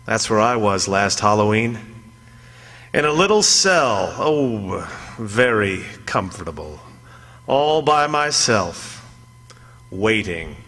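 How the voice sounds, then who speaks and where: An actor playing a weary condemned prisoner in a 1940s radio drama, speaking in a folksy, confiding way straight to the listener. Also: male voice, on the low side, very animated, slow, a bit bright, full character voice. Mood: confiding, wry. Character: weary condemned male prisoner recounting his last Halloween. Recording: reverberant old radio broadcast, music behind.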